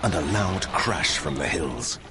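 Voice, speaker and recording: An actor voicing a wrathful God pronouncing judgment in solemn, commanding declamation for a dramatized scripture reading. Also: male voice, deep voice, expressive, a little slow, a crowd in the background, noisy background.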